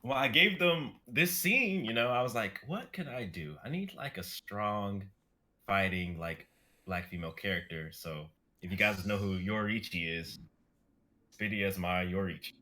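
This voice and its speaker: A man's voice: lowish voice, expressive, moderate pace, sharp tone. A young adult man who writes and reads sketches, chatting casually with his group. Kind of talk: casual chat.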